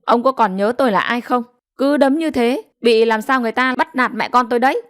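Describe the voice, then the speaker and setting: A woman voicing a sharp-tongued, fiercely protective village wife in an audio story, with a scolding, cursing manner. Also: a little high, fairly quick, no echo, studio quality, voice pushed up, lightly acted. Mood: tearful pleading.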